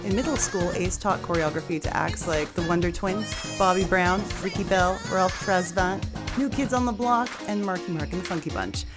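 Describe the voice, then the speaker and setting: A woman's voice: fairly low pitch, normal speed. A woman hosting a radio show, introducing and interviewing a guest in an upbeat way, stressing names as she goes. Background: music playing.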